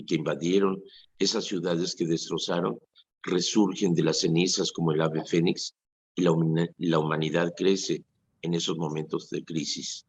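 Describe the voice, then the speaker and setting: A man's voice: low-pitched, a little hiss or hum. A Mexican priest in his sixties preaching earnestly on a radio show, acting out quoted remarks as he goes. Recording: dull lo-fi radio recording.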